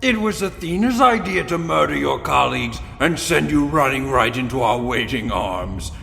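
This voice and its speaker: A man's voice: medium pitch, lively intonation, moderate pace, vocal fry, fairly bright tone, in a large hall, soft background music. An actor playing a smug, teasing spy and con man with a mocking, theatrical manner, in an audio spy comedy. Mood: smug, taunting.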